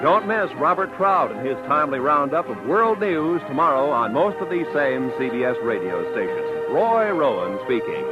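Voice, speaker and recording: A man giving the closing announcements of a 1950s radio broadcast in a crisp, formal announcer manner. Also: medium pitch, big pitch swings, normal speed, hardly any pauses. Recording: dull old radio broadcast with faint music.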